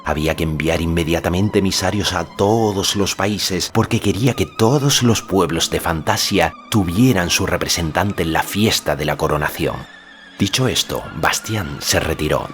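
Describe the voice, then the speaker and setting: Very deep-voiced male audiobook narrator. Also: fairly bright tone. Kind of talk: scripted literary narration.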